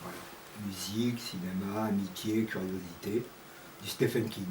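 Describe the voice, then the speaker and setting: A man's voice: deep voice, fairly even intonation, moderate pace, darkish tone. Man, 60s, retired, self-deprecating, wry, distant mic, background noise. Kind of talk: personal testimony.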